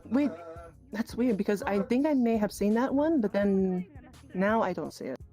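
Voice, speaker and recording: A woman's voice: mid-range voice, expressive, moderate pace, dark tone. A woman chatting casually on a live gaming stream, flustered, apologetic and hesitant. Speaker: host of a virtual-reality roleplay livestream. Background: music.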